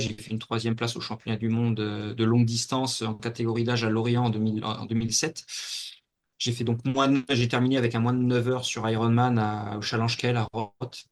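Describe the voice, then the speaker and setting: A man in his forties from Normandy, a guest giving a steady, detailed account of his career with frequent pauses and fillers. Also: mid-range voice. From Normandy, France. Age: 40s. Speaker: guest, athlete and mental coach. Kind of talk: casual interview talk.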